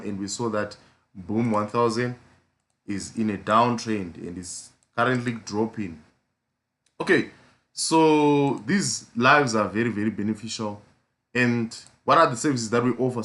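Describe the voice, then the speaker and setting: A man with a hoarse voice from a flu, hosting a casual live trading stream with a South African accent, rambling with lots of fillers and repeated pleas. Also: medium pitch, fairly bright tone. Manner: repetitive pleading, frequent fillers.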